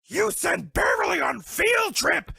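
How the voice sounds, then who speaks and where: Squealing, gravelly girl bear. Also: very high-pitched, big pitch swings, measured pace, bright, forceful tone, no echo, clean studio sound, full character voice. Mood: playful, gushing. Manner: broken third-person English, dramatic and gushing. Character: sweet dramatic lovestruck female bear, talking in broken English. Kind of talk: cartoon dating game character lines.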